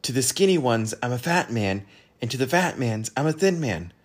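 Man doing a chirpy, animated, absent-minded little fellow. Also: mid-range voice, normal speed, pitched above the performer's own voice, close to an everyday voice. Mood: confiding. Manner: bright, proud, eager. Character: chirpy, absent-minded ordinary man posing as four oddities.

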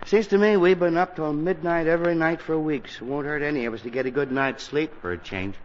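Man acting exasperated middle-aged husband, 1940s radio comedy, highish voice, muffled noisy old broadcast. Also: a little fast, lightly acted. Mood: weary. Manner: exasperated, sighing, muttering. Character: grumbling middle-aged suburban father and husband.

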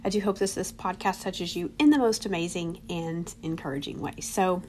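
A woman's voice: on the low side, moderate pace, darkish tone, a little hiss or hum. A woman with a congested, nasal voice hosting a faith podcast, speaking conversationally and often checking in with "right?" and "okay?". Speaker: podcast host. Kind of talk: casual faith-based talk.

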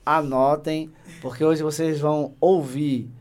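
A middle-aged man hosting a casual live podcast, speaking haltingly with many fillers and joking asides. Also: fairly high pitch, level tone, a little mellow. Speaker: podcast host, insurance team leader.

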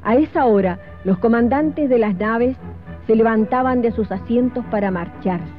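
A woman's voice: a little high, moderate pace. A woman narrating a scripted historical radio drama. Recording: thin, narrow old radio recording. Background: faint music.

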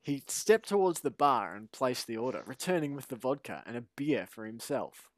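A man's voice: medium pitch, big pitch swings, moderate pace, no echo. A man co-hosting a sports podcast, chatting playfully and reading a story aloud. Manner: playful, laughs often. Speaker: podcast co-host.

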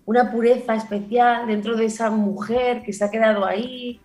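A woman's voice: on the high side, normal speed, slight background noise. A woman with a Barcelona Spanish accent, born in Granada, chatting as a comedy writer and performer guest, telling stories with laughter and restarts. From born in Granada, raised in Barcelona. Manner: lively storyteller, laughs often, many restarts. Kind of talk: casual interview conversation.

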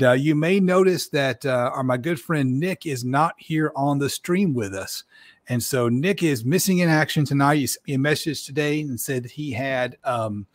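A man's voice: medium pitch, no echo. A man hosting a live-stream podcast, chatting casually and rambling a bit, with a scratchy, strained voice. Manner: chatty, rambling, self-deprecating. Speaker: podcast host.

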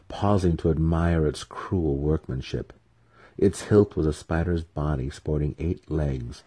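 A man giving a dramatic scripted narration of a fantasy audiobook in a husky voice. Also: rumbling low, dark tone.

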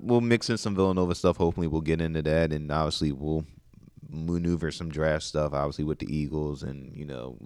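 A man's voice: extremely low pitch, clear, resonant tone, dry recording. A man, a guest host in a casual sports chat, chiming in with short agreeing replies and laughter.

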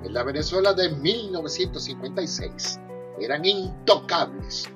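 Over soft music, a man plays a theatrical narrator of a comic radio soap. His voice is a bit high, telling the scripted story. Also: lively intonation, moderate pace, lightly acted. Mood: narrating.

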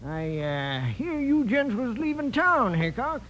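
Casting: wheezy Western gang boss, high and swooping voice, sly folksy drawl, old noisy radio sound. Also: male voice, big pitch swings, a little slow, a bit bright, heavily acted. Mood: falsely friendly. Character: wheezy, sly old-West outlaw boss recruiting gunmen. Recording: noisy vintage radio recording.

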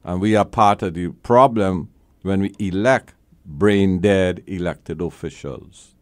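A man's voice: low voice, takes it slowly, a little mellow, no room sound. A man hosting a call-in legal advice show as a lawyer, talking bluntly and with emphasis, repeating his key points.

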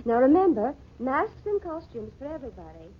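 A woman's voice: high-pitched, sing-song, brisk, husky, exaggerated voice. An actress playing an affectionate, gushing society woman in a theatrical 1940s radio drama style. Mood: chatty, gushing. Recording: dull, hissy old radio recording.

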